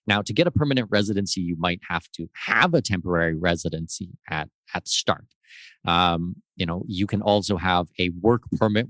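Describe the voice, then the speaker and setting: Low-voiced man explaining residency. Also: no echo. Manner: explanatory, measured, gives lists of examples. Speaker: guest expert on residency and tax. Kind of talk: casual interview answers.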